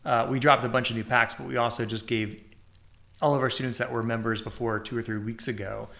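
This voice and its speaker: A man's voice: mid-range voice, fairly even intonation, fairly quick, vocal fry, sharp tone. A man co-hosting a live podcast, chatting casually and upbeat while promoting. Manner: upbeat, promotional, says y'all. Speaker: podcast co-host. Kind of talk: casual live-stream chat.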